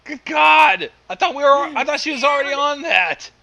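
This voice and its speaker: A woman's voice: high-pitched, expressive, moderate pace, vocal fry, a crowd in the background. A woman reacting to a story reading with exasperated, sardonic commentary.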